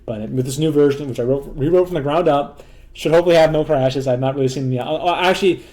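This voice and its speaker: A hoarse man casually walking through a demo of software he built, rambling loosely with lots of fillers, restarts and blunt joking asides. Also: on the high side, quick pace. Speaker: developer demoing his own software. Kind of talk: casual rambling screen walkthrough.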